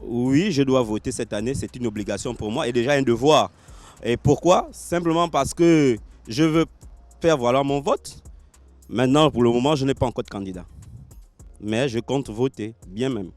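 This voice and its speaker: A man's voice: medium pitch, moderate pace, darkish tone, dry recording, soft background music. Earnest man in a street poll. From Gabon. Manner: emphatic, earnest, insistent. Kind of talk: vox pop answer.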